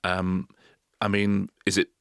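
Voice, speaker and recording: A middle-aged English man co-hosts a podcast in quick, joking chat, laughing often. His voice sits very low and gravelly. The sound is dry and close to the mic. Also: completely flat delivery, fairly bright tone, no echo. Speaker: podcast co-host. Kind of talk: casual chat.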